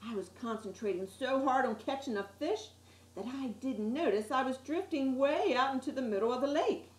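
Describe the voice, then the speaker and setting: A woman's voice: sits high, moderate pace. Woman reading a storybook in an echoey room. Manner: expressive storyteller with asides to listeners. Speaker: story time reader. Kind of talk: reading a children's picture book aloud.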